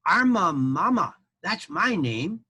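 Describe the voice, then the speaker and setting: A man voicing a talkative, bossy mother armadillo in a storybook tale, with high, squeaky, excited chatter. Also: takes it slowly, slightly rough, exaggerated voice.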